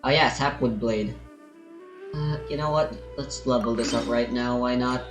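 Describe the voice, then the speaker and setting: A man's voice: mid-range voice, flat delivery, normal speed, reverberant, over music. A man giving casual, rambling commentary on his own gameplay, swearing now and then and talking to himself. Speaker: gamer streaming his play.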